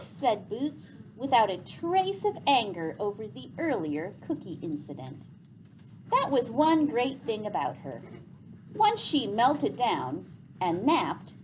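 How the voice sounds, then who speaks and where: Female storyteller, high voice, very lively intonation, expressive read-aloud narration over some background noise. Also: poor audio. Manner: animated, deliberate read-aloud delivery. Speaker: storyteller reading a novel aloud.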